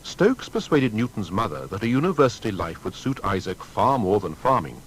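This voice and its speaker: A man narrating a scripted biography in a measured, formal and dramatic way. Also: big pitch swings, poor audio. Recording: faint background rumble and noise.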